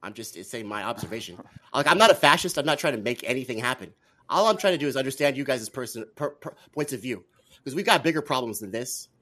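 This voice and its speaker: A man's voice: medium pitch, expressive, quick pace, bright, forceful tone. A man debating politics heatedly as a talk show guest, argumentative and pressing his points with challenging rhetorical questions.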